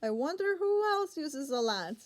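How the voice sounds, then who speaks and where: A woman reading a picture book aloud to young children, expressive and full of questions and asides to her listeners. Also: high-pitched, moderate pace. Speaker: children's read-aloud storyteller.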